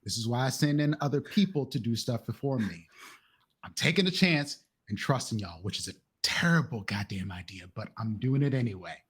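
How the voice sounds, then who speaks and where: Husky-voiced man playing a tabletop game. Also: medium pitch, lively intonation, brisk. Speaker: tabletop role-playing player. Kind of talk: casual in-character roleplay and narration.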